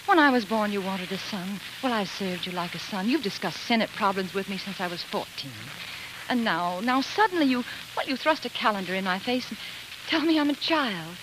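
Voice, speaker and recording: A woman's voice: mid-range voice, lively intonation, a little fast, background noise, lightly acted. A woman acting a headstrong, devoted young American wife in a 1940s radio drama, with earnest, emphatic theatrical delivery. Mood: defiant. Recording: 1940s radio broadcast with music.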